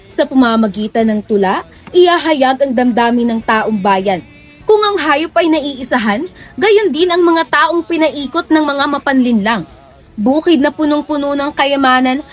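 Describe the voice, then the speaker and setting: A woman narrating reader's theatre verse in a dramatic, declamatory way. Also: high voice.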